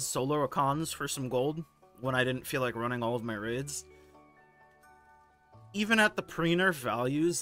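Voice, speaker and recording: A hoarse man with a scratchy, sore-throated voice talks through gaming forum posts in a casual, candid way. His pitch sits mid-range for a man, and faint music plays underneath. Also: fairly bright tone. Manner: laid-back, candid, occasional swearing. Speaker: gaming video host.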